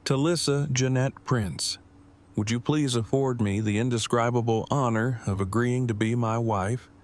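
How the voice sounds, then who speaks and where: A man's voice: medium pitch, measured pace, close to an everyday voice. A man acting an earnest, wordy boyfriend in an audio drama, speaking in formal, precisely worded, stilted phrases. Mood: solemn, loving.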